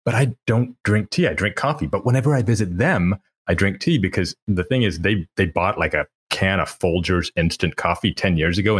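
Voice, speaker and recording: Low, husky-voiced man chatting. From Pakistan. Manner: chatty, laughs easily, lively storytelling. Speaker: podcast guest. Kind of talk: casual chat.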